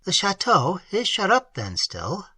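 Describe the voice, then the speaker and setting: A reader voicing a troubled gentleman traveller who speaks formally and gravely. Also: high-pitched, huge pitch swings, unhurried, no room sound, voice pushed up, heavily acted. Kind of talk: audiobook character reading.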